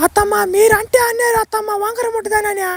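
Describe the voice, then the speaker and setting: A woman's voice: very high voice, level tone, fast, slight background noise. A woman voicing a put-upon, indignant young daughter-in-law in a comic village cartoon, plaintive and protesting.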